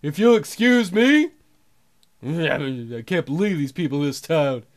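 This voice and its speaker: A man's voice: fairly high pitch, lively intonation, unhurried. A man voicing a fussy, aggrieved Old West bartender with an indignant, complaining manner in a game.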